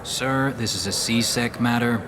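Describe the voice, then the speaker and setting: A man's voice: mid-range voice, measured pace, a crowd in the background, lightly acted. A man playing an officious, curt police officer in a video game. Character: officious, brusque male space-station police officer.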